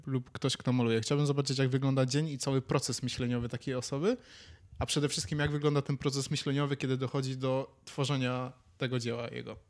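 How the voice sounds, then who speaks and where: A man's voice: medium pitch, little pitch movement, a little fast. A man co-hosting a podcast, chatting casually and musing aloud as he searches for words. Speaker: podcast co-host. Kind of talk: casual chat, musing and telling anecdotes.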